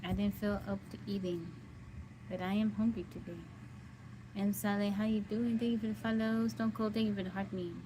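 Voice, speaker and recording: A woman's voice: medium pitch, flat delivery, fairly quick, warm tone, a little hiss or hum, poor audio. An American woman hosting a casual livestream, greeting viewers by name and chatting about being hungry and jittery. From United States. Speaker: livestream host. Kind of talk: casual live chat with viewers.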